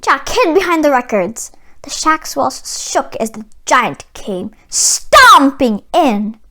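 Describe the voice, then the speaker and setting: High-pitched woman reading a storybook. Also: sing-song, slow pace, bright, forceful tone. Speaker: children's book reader. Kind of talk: expressive scripted storybook narration.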